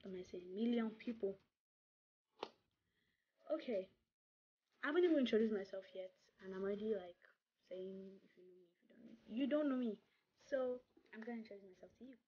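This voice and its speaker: A woman's voice: mid-range voice, a little fast, long pauses. A young woman from Nigeria with a Nigerian accent, chatting casually to camera as a vlogger, stressing points by repeating words. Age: young adult. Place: small room indoors. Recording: dull lo-fi sound, clear background noise.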